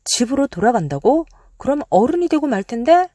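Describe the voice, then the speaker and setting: Casting: energetic storybook boy adventurer voiced by a woman, emphatic, loud, very lively intonation. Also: sits high, brisk, no echo, clean studio sound, pitched above the performer's own voice. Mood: scornful surprise. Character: bold, energetic young boy hero.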